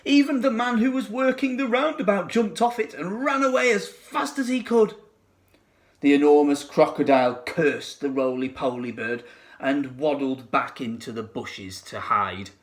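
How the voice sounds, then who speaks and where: A man narrating a children's storybook expressively, with dramatic, deliberate phrasing. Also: on the high side, lively intonation. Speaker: teacher reading to pupils.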